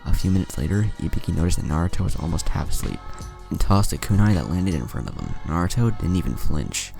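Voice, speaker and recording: A man's voice: low voice, lively intonation, quick pace, vocal fry, dark tone. A man reading a scripted fan fiction story as narrator, voicing the dialogue as he goes. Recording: music behind the voice. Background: music.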